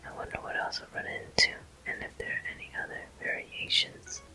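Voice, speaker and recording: Whispered voice, breathy, very bright and sibilant, ASMR gameplay commentary, soothing, unhurried, close-miked. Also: poor audio. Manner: soothing, unhurried, softly encouraging. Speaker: game streamer.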